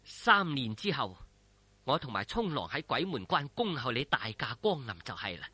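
A male storyteller plays a defiant young swordswoman in a martial arts tale. She taunts slowly and deliberately in a Cantonese accent, her tone husky and lowered from the narration. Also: big pitch swings, bright, forceful tone, no echo, exaggerated voice. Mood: cool mockery. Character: defiant, mocking young swordswoman facing death.

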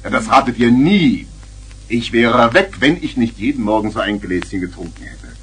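An actor playing a jovial, tippling old uncle who tells tall tales theatrically in a 1970s children's radio play. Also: male voice, mid-range voice, animated, normal speed, a little hiss or hum, close to an everyday voice. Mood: playfully boastful.

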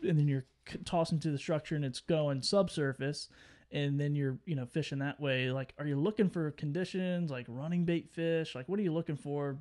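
A man's voice: on the high side, moderate pace, darkish tone. A young adult man co-hosting a podcast, asking laid-back, rambling questions over a video call. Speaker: co-host. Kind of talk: casual podcast chat.

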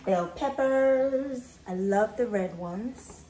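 A woman's voice: fairly high pitch, slow pace, background noise. A middle-aged woman in a home kitchen, chatting casually to the camera and reading recipe steps aloud. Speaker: vlogger. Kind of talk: casual cook-along vlog. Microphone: camera on the counter. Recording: roomy kitchen echo.

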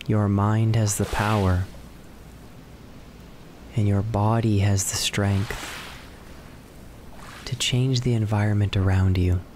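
A man leads a guided relaxation in a low, very even voice. He speaks soothingly in short phrases with long pauses between them. Clear wave noise runs behind his words. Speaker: guided meditation narrator. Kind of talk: slow guided relaxation narration. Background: ocean waves and relaxation music.

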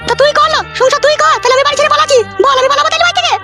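A woman's voice: extremely high pitch, steady tone, very fast, music in the background. A woman playing a brash, foul-mouthed, scolding village mother in a comic cartoon, with an artificially raised voice and coarse rural slang. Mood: combative.

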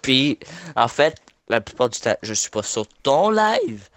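A man's voice: medium pitch, sing-song, a little slow, a bit bright, dry recording, clean studio sound. A man with a Quebec French accent chatting casually with a friend during an online game. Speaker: gaming companion of the streamer. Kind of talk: casual chat while gaming.

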